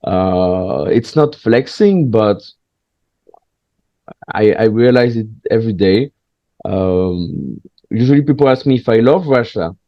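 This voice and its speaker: A man's voice: on the low side, lively intonation, no room sound, highs cut off. Hesitant man. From France. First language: French. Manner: hesitant, frequent pauses and restarts, candid. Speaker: guest, stand-up comedian. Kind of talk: casual interview chat.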